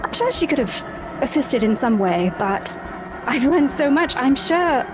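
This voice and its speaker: A woman acts out an eager, self-assured young woman in a tabletop roleplay. She speaks in a prim, formal way, pitched a little high and full of pride in her own cleverness. Music plays underneath. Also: expressive, measured pace, low-quality recording. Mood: proud, excited.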